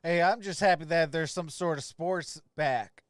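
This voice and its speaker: A man's voice: a little high, a little slow, no echo. A man doing play-by-play for a basketball livestream and chatting with viewers, recorded dry and close.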